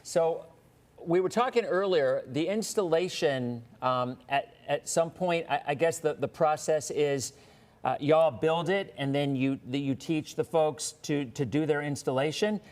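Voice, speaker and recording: A man's voice: a little high, measured pace, a little mellow. A man hosting a live weather show, chatting loosely with a guest and greeting viewers by name. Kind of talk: casual live TV interview chat.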